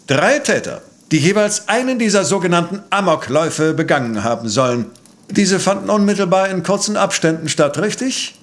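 An actor playing a brilliant, arrogant professor and amateur sleuth in a German audio drama, brusque, pompous and precise. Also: male voice, high voice, expressive, moderate pace. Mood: analytical, disdainful.

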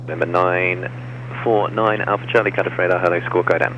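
Deep voiced atc man rattling off instructions, muffled radio, clear background noise. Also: fairly even intonation, fairly quick. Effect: aviation radio. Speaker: air traffic controller. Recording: muffled, noisy aviation radio.

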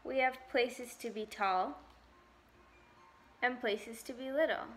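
A woman's voice: a little high, takes it slowly, faint music behind. A young adult woman reading a picture book aloud to preschoolers as a volunteer, recorded indoors. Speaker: volunteer story reader.

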